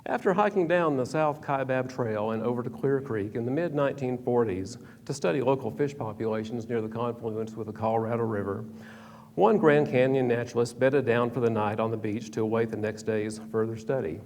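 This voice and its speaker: A man's voice: medium pitch, fairly quick, darkish tone. A man presenting a prepared history talk in a hall, reading from a script with small stumbles and restarts. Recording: reverberant hall, faint background hum.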